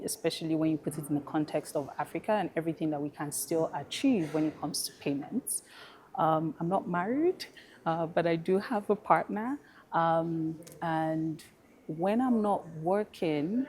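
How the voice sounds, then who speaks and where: Low-voiced Nigerian woman, easygoing. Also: darkish tone. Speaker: fintech founder, panel guest. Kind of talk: relaxed panel conversation.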